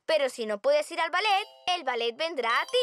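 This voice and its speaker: A woman's voice: high voice, expressive, moderate pace. A woman voicing a cheerful, bossy young big sister in a children's cartoon, with a Castilian Spanish accent. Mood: encouraging. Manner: chirpy, encouraging, take-charge. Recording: loud children's music behind the voice.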